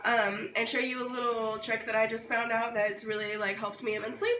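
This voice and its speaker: A hoarse, sick-sounding woman giving a casual, informal step-by-step hands-on tutorial. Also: fairly high pitch, flat delivery, brisk, fairly bright tone. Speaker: babywearing tutorial host. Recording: roomy, distant mic, noisy background.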